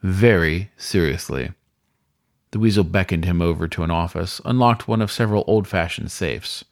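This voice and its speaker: A man's voice: sits low, brisk, vocal fry, a little mellow. A man reading a spooky story as narrator, in scripted, dramatic storytelling style.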